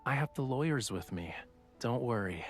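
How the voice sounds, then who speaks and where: An actor playing a suave, secretive young male artist in a romance game, speaking in a soft, measured, gently teasing way. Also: medium pitch, animated, soft background music, lightly acted. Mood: earnest, reassuring. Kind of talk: voiced dialogue in a romance game.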